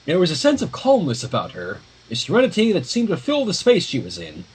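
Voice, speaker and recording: A man's voice: on the high side, wildly swooping pitch, a little fast, breathy edge, rough recording. A man reading a story aloud informally on a livestream, dropping in casual asides. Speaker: livestream fan fiction reader.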